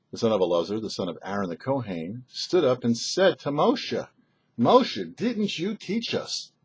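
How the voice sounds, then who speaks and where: A man's voice: mid-range voice, husky. A man speaking as a rabbi and show host, giving a short scripted religious lesson in a didactic, questioning manner. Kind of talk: short scripted Torah teaching.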